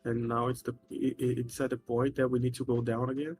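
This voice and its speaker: Male guest on a voice call, mid-pitched, halting phrasing, thin suppressed audio, faint music behind. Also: level tone, breathy edge. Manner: halting, restarts words, searches for phrasing. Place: small rental apartment with a washing machine running. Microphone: laptop mic over voice chat. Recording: quiet voice chat audio with heavy noise suppression.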